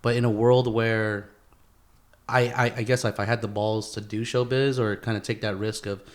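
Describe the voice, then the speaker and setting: A man's voice: lowish voice, little pitch movement, moderate pace. A man hosting a podcast in casual chat, peppering his slangy, sometimes profane talk with bro, dude and man. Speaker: podcast host.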